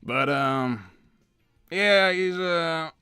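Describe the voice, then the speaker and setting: A man's voice: on the high side, very slow, pushed and bright, clear, resonant tone, dry recording, studio quality, faint music behind, full character voice. A man voicing an old hedgehog uncle in a cartoon interview, hesitant and evasive, stammering and repeating himself as he rambles. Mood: wistful, evasive. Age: older. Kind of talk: improvised in-character interview.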